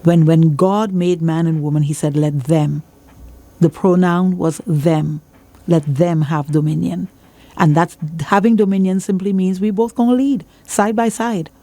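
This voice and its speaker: A woman's voice: fairly low pitch, fairly even intonation, measured pace, warm tone. A woman with a Trinidadian accent speaking as a church leader in an interview, emphatic and repeating phrases for emphasis like a preacher giving testimony.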